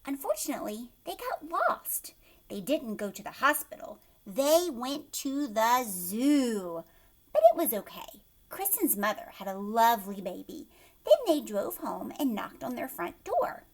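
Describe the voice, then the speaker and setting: Animated high-voiced woman reading to children. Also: unhurried. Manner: animated, questioning, emphatic. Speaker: host of children's read-aloud, teacher. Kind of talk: expressive storybook narration with asides to children.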